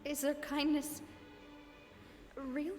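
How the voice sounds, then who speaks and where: A young female leader in a video game. Her voice is high, earnest and dramatic, brave but audibly wounded. Music runs underneath her lines. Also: flat delivery, drawn-out words. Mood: sad, reflective.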